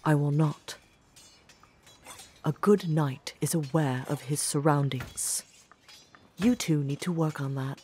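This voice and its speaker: A woman playing a stern but caring commander of a knights' academy, speaking formally and with measured authority in a fantasy audio drama. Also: low-pitched, mellow, slight background noise. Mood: composed, commanding. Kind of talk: scripted fantasy audio roleplay.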